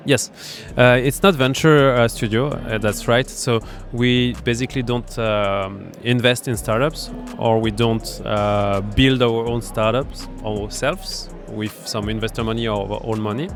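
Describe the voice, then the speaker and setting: French-accented guy at a startup event, explains stuff with lots of uhs and restarts, average pitch, faint music behind. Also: steady tone. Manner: explanatory, halting, with many fillers and restarts. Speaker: startup accelerator founder, guest. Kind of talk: conversational interview.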